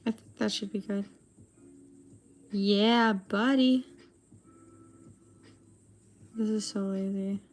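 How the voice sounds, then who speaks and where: A young woman with a raspy, dry-throated voice, chatting casually and rambling with lots of fillers as she draws. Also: medium pitch, steady tone, slow pace. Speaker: digital artist streamer. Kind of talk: casual chat while drawing.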